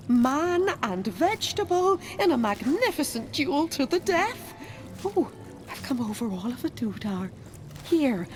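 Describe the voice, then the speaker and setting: A woman playing a doting, excitable and genteel gardener in a video game, with a theatrical, quaint manner. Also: on the high side, slight background noise. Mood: gushing, admiring. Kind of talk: video game character acting. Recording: music behind the voice.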